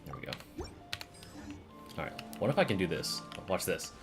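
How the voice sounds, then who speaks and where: Low-voiced man chatting over a game. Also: big pitch swings, moderate pace, loud music behind the voice. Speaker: game streamer. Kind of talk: casual live gameplay commentary.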